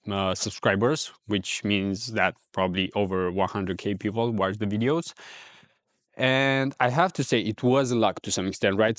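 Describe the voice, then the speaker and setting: A man from Romania with a Romanian accent, talking casually in a reflective, rambling vlog with many pauses and fillers. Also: on the low side, no room sound, studio quality. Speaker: ecommerce vlogger.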